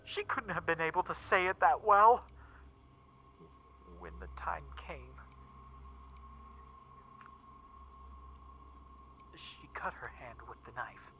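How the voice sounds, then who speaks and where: A man playing a shaken young witness recounting an occult horror in a first-person story reading, halting and emphatic, with stammers and repeats. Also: on the high side, very animated, background noise. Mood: agitated, distressed, then hushed, dread. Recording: muffled recording with background music. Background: eerie background music.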